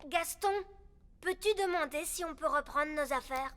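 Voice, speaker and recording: A woman's voice: sits high, normal speed, a bit bright. A woman voicing a sweet, earnest little child in a fairy-tale cartoon, innocent and plain-spoken. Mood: curious, earnest.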